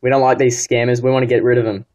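A man's voice: medium pitch, level tone, rapid-fire, darkish tone, close to an everyday voice. A man voicing a pushy, easily offended rookie phone scammer on a prank call, whiny and wheedling, repeating himself. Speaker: prank caller.

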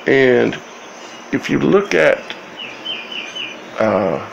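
A man giving informal scripture commentary as a video host, speaking in halting, broken phrases with long pauses. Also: mid-range voice, sing-song, vocal fry, a little hiss or hum, poor audio.

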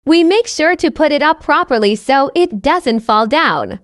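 High voiced woman reading a learner story, very clear careful words, lots of up and down in pitch. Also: animated, a little slow, no echo, studio quality. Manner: carefully enunciated, repeats sentences identically. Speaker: narrator of English learning stories. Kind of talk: scripted slow narration for language learners.

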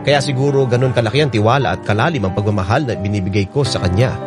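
A man's voice: on the low side, quick pace. A man hosting a radio show, reading a listener's letter as sentimental scripted storytelling. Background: guitar music.

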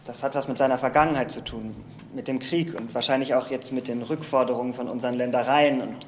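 An actor playing a young, defensive aristocratic heir in a crime audio drama. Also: male voice, mid-range voice, fairly even intonation, quick pace, a little hiss or hum, close to an everyday voice. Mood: pleading, insistent. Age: young adult.

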